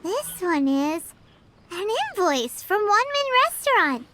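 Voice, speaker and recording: A woman voicing a tiny, childlike girl fairy in a video game, squeaky, perky and blunt, full of exclamations. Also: very animated, slow pace, background noise, exaggerated voice. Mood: excited. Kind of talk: video game character acting.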